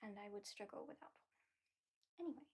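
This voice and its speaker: A British woman voicing a haughty, mocking female vampire in an ASMR roleplay, soft-spoken and often whispering. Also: medium pitch, expressive, fast, slightly rough. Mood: teasing. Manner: soft-spoken, drifting into whispers, dryly sardonic. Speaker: ASMR performer.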